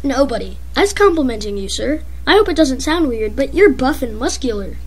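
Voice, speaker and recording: A woman voicing a meek, wronged fat man with a secret vengeful side in an amateur animated skit, speaking earnestly and simply. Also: sits high, brisk. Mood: meek, hurt.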